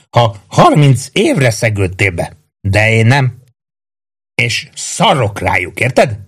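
A man voicing a small, defiant young soldier in an audiobook, shouting coarse taunts. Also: medium pitch, breathy edge. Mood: bitter, fed up.

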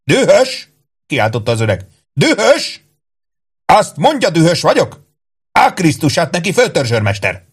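A man's voice: mid-range voice, expressive, breathy edge, pitched above the performer's own voice. A man voicing a hot-tempered older army captain in an audiobook, with an indignant, emphatic, huffing manner. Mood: outraged.

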